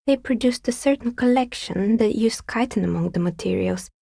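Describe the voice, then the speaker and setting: An actress voicing a dry, sceptical woman dicemaker in a video game, with a soft, breathy, husky voice and a wry, understated delivery. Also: fairly high pitch, normal speed, no echo. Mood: wry, matter-of-fact. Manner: wry, understated, matter-of-fact. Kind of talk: video game character dialogue.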